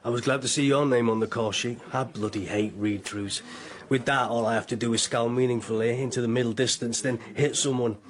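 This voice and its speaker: A man's voice: mid-range voice, fairly even intonation, fairly quick, natural voice. A man playing a self-satisfied television star in a radio comedy drama. His mid-range voice is blunt and matey, with a cocky ego showing through. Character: cocky male TV cop-show star with big ego.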